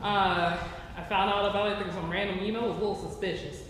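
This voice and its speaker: A man's voice: high-pitched, flat delivery, fast talker. A man in his twenties chatting casually on a panel with youthful slang, recorded in an echoey hall. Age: 20s. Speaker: actor and ensemble member. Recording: echoey hall, distant mic, noisy.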